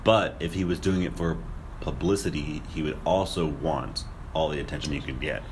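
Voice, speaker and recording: A man's voice: a bass voice, normal speed. A young man chatting casually as a podcast co-host, with a skeptical, questioning manner. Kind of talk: casual conspiracy discussion. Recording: noisy room recording.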